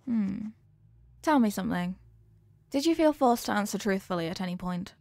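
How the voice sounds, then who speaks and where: A woman's voice: mid-range voice, expressive, moderate pace, creaky, a little mellow, no room sound. A woman playing an earnest, formal young scientist who is fond of her test subject, in a scripted roleplay with precise, clinical wording. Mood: attentive, clinical. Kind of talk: scripted roleplay addressed to the listener.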